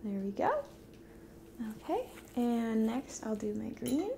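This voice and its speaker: A woman in her twenties doing a soft-spoken ASMR roleplay to the listener, gentle and hushed, drifting into whispers. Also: a little high, very animated, slow pace, vocal fry, a little hiss or hum. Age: 20s. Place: dim indoor room at a table.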